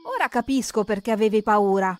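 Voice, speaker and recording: A woman's voice: mid-range voice, lively intonation, a little fast. A woman narrating a children's cartoon, expressively asking questions and talking straight to the characters.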